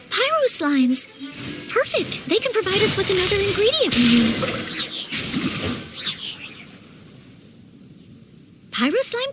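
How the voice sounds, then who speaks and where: An eager young girl who dreams of knighthood, her voice very high and politely earnest. She is modest and quick to doubt herself, in a clean video game style with music underneath. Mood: pleased, focused. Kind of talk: video game character voice acting.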